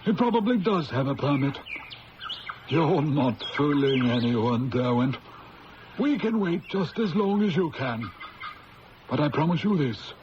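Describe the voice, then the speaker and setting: An actor playing a calm, patient male police inspector with a steady, quietly menacing way of talking, in a 1970s radio drama. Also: fairly high pitch, a little slow, pauses often, muffled recording. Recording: hissy old radio broadcast.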